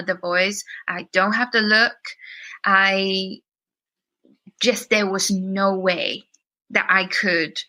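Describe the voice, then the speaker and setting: Bright-voiced woman with a Chinese accent. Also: medium pitch, low-quality recording. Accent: Chinese with some British. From China. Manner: candid, reflective storytelling with many fillers. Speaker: guest musician. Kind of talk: podcast interview.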